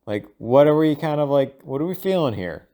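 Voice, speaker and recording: A man thinking aloud in a casual, rambling audio log, halting and informal, sometimes voicing imagined lines of dialogue. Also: fairly high pitch, animated, warm tone. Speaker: solo audio log host.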